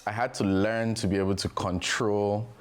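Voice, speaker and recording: A man with a very low, deep voice tells his stories in an easy conversational flow. He keeps filling his sentences with "you know" as he thinks aloud. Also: fairly even intonation, moderate pace. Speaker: guest father. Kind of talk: candid podcast conversation.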